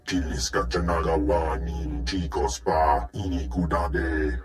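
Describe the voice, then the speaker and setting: An imposing male alien in a video game, speaking a made-up tongue. The voice is extremely deep and slow, ringing with echo over faint music. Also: steady tone. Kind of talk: dramatic video game acting in invented alien language.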